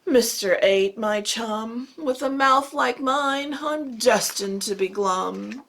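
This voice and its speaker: A woman's voice: on the high side, slow, a bit bright, a little hiss or hum. A woman voicing a gloomy male fish who chants his mopey refrain in a sing-song way. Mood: glum, sing-song.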